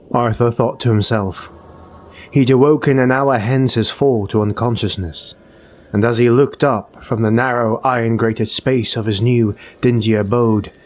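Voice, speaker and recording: A man narrating a dark fantasy audiobook in a slow, hushed, grave manner. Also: medium pitch, slight background noise. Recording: muffled with faint music.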